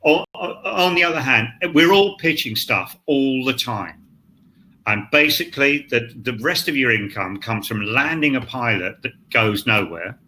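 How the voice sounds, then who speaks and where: A man's voice: medium pitch, expressive, measured pace, bright, forceful tone. An older man talking casually on a writers' panel, blunt, emphatic and rambling, with some swearing. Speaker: scriptwriter on a panel. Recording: clear background noise, live online event.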